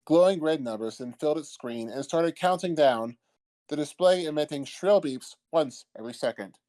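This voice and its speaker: A man's voice: lively intonation, normal speed, dark tone. A man reading scripted comedic fanfiction as the narrator. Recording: old-timey low-quality mic, background music.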